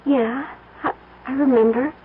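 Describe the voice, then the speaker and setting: A woman's voice: sits high, steady tone, measured pace. An American woman playing a naive, devoted young bride in an old-time radio drama, pleading in a tremulous, anxious way. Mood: fearful. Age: young adult. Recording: tinny, noisy old radio broadcast.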